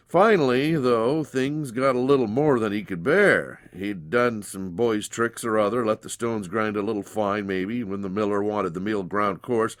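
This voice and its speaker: A man's voice: fairly low pitch, no room sound, clean studio sound. A man narrating a dramatized story in a folksy, conversational way. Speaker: narrator, audiobook reader.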